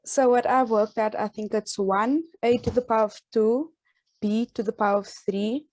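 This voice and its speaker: A woman student talking through her maths working, hesitant and halting. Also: fairly high pitch, steady tone, darkish tone, dry recording, poor audio.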